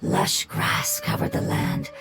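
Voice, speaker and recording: A woman voicing a polite, literal-minded fungus creature of an ancient race in a video game, formal and earnest with a breathy, whispery voice. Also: takes it slowly, loud music behind the voice, lightly acted. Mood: polite, solemn. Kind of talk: video game character acting.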